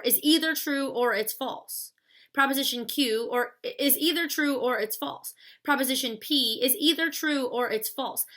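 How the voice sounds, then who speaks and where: Female lecturer, 30s, bright and slightly high voice, casual and conversational delivery. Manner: chatty, uses fillers like "like" and "stuff". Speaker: philosophy lecturer. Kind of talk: casual classroom explanation.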